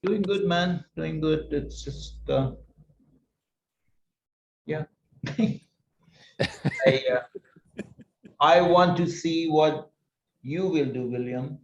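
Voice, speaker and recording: Man, unhurried halting speech, gravelly, roomy echo, muffled call audio. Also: fairly high pitch, slight background noise. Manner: slow, halting, reflective, trailing off. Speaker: regular group member on a video call. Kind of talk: casual chat. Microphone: video call.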